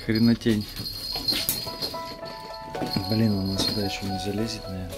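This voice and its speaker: A man's voice: lowish voice, level tone, normal speed, loud music behind the voice. A man from Kazakhstan filming a casual fishing vlog, joking and swearing freely as he talks. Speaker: fishing vlogger. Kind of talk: casual chat while filming.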